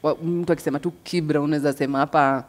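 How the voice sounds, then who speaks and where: A woman hosting a casual interview chat, chiming in with quick interjections and chatty slang asides. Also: low-pitched, steady tone. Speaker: host.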